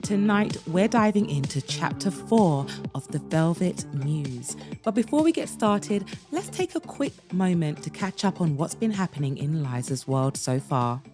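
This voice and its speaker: A woman hosting a podcast and narrating an audiobook in a dramatic, expressive way, with slow emphatic pauses. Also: sits low, over music.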